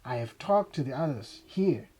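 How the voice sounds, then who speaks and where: A man's voice: mid-range voice, lively intonation, moderate pace, dark tone. A young man from South Sudan with a Dinka accent, coaching lines and recalling experiences. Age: young adult. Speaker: dialect coach. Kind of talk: spoken recollection and line coaching. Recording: clear background noise, ambience.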